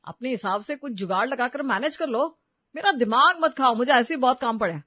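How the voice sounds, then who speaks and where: A man's voice: very high voice, animated, a little fast, no echo. Brusque money-minded husband, acted by a man, muffled. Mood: irritated. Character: self-absorbed, money-obsessed husband, brusque and dismissive. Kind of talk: dramatised dialogue in an audio story.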